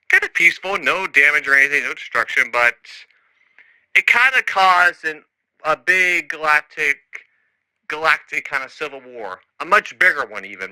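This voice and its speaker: A man's voice: mid-range voice, bright, forceful tone, clean tone, dry recording, rough recording. A man recording an improvised audio log as a storyteller, rambling and hesitant, often trailing off and losing his thread. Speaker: storyteller and narrator.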